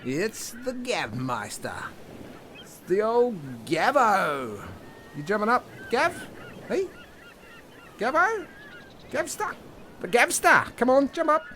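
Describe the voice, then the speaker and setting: Man as cocky jet-ski mate, slangy, teasing, very varied pitch, comedy skit, faint noise. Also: huge pitch swings, slow, pauses often, bright, forceful tone, full character voice. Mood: chummy, coaxing. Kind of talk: improvised comedy audio skit. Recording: amateur audio, some background noise.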